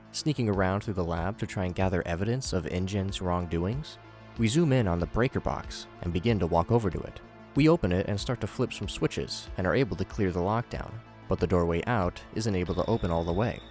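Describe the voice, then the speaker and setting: Low-voiced man narrating with wry asides. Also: darkish tone. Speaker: video narrator and commentator. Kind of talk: scripted narration recapping horror shorts with commentary.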